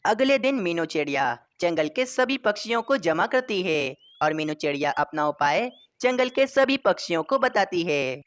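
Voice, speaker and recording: A woman's voice: fairly low pitch, big pitch swings, normal speed, few pauses. A woman reading scripted narration for a children's story.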